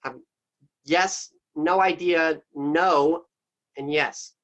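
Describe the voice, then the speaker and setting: A man's voice: on the high side, fairly even intonation, extremely slow, a bit bright, poor audio. A man voicing a scheming wolf villain who talks casually and hesitantly. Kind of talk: amateur radio play acting.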